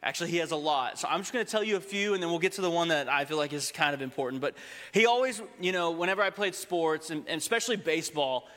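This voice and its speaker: A young adult man from Texas giving a casual, humorous sermon to a congregation, slowing down to read scripture. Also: on the high side, lively intonation, sharp tone. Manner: conversational, humorous, slows down when reading scripture. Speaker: preacher. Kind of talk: sermon with storytelling. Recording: long hall reverb.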